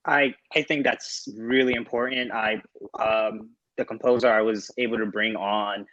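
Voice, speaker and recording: A man talking casually as a panelist, halting and repeating himself, on slightly lo-fi audio. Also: fairly low pitch, fairly even intonation, a bit bright, no room sound. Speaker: panelist, fiction podcast creator.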